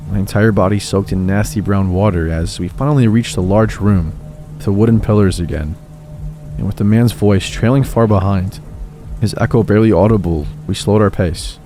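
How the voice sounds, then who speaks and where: Low, mellow-voiced man narrating horror. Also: moderate pace. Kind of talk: scripted narration of reader stories. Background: faint music.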